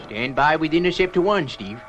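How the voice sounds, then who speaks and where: An actor playing a fussy, excitable professor aboard a rocket ship, earnest and halting, in a science fiction series. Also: male voice, fairly high pitch, muffled recording, natural voice. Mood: focused. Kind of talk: 1960s children's science fiction puppet series acting. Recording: loud music and engine noise behind.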